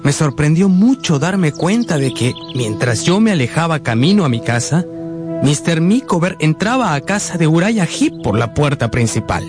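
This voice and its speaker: A man's voice: fairly high pitch, big pitch swings, moderate pace. Earnest young male narrator over music. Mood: reflective. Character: earnest, polite young man telling his life story. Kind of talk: scripted narration with acted dialogue, radio drama. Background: background music.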